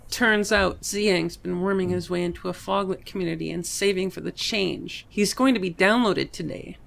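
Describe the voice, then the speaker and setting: Woman acting a bitter, creaky-voiced jilted young assistant. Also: lowish voice, normal speed. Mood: upset. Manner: aggrieved, sarcastic. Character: bitter, heartbroken young female assistant, sarcastic. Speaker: voice actor. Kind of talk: voiced comic book dialogue.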